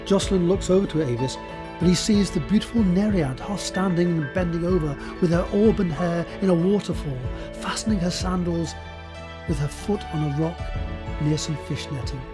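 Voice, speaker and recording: A man narrating an audio drama, reading scene descriptions and stage directions in a measured, descriptive way. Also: sits high, music in the background.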